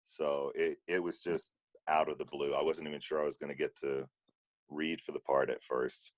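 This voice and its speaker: A man with an extremely deep voice tells a relaxed, casual anecdote. He sounds like a voice actor talking among colleagues on a group call. The sound is dry, with very little room. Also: no echo. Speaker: volunteer audio drama voice actor.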